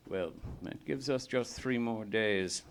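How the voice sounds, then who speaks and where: An actor playing a brisk, affable police detective official in a live radio play, formal and businesslike. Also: male voice, lowish voice. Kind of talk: live old-time radio play acting.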